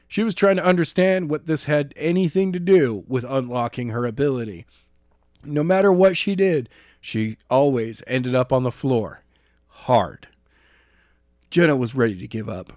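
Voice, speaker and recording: A man's voice: medium pitch, dry recording, studio quality. A man who is a podcast co-host and amateur narrator, speaking in an informal, unpolished way. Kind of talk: casual banter and novel read-aloud.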